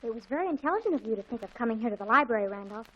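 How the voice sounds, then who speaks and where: A woman playing an excitable, dramatic teenage girl in a 1940s radio comedy, breathless and emphatic. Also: fairly high pitch, rapid-fire, lo-fi sound, faint music behind. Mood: gushing. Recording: old 1940s radio broadcast.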